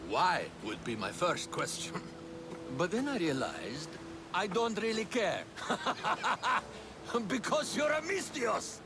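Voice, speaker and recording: An actor playing a boisterous, carefree drunk dock foreman in a video game, loud and rambling. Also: high-pitched, very animated, takes it slowly, noisy background, heavily acted. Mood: boisterous, dismissive. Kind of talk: video game cutscene acting.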